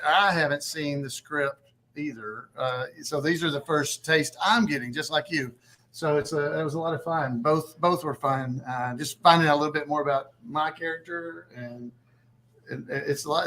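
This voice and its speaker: A man's voice: fairly high pitch, moderate pace, bright, forceful tone. A man chatting casually as an actor giving his reaction to a table read. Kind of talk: casual chat.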